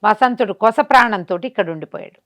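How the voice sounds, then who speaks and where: Animated conversational woman storyteller. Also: medium pitch, fairly quick. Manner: conversational, asks herself rhetorical questions. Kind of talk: animated oral folk storytelling.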